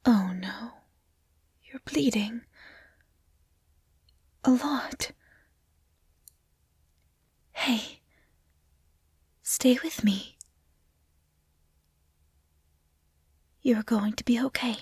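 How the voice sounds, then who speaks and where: Woman voicing a whispery, gentle forest dryad. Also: fairly high pitch, breathy edge, no echo, clean studio sound, exaggerated voice. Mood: urgent, hushed worry. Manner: soft, hushed, whispery, halting and caring. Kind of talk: intimate roleplay audio drama.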